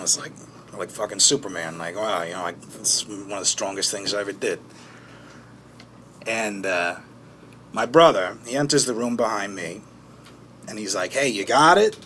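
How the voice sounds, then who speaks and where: A man playing a haunted, bitter man who recounts childhood trauma in a halting, foul-mouthed documentary-interview monologue. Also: lowish voice, sharp tone, slight background noise. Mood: intense, reliving. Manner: halting, foul-mouthed, with pauses and emphatic bursts. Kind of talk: naturalistic dramatic monologue in interview form.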